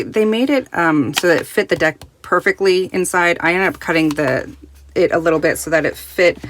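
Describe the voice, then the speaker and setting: A woman reviewing a tarot deck in casual, rambling chat full of restarts, recorded in a quiet closet at home, her voice still recovering from being lost. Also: on the low side, a little hiss or hum. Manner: chatty, rambling with many restarts and fillers.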